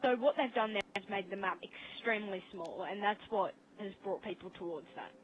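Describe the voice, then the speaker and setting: A woman in a casual gaming discussion over voice chat, halting and repeating words as she goes. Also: medium pitch, muffled recording. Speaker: podcast guest. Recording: faint noise, online voice chat.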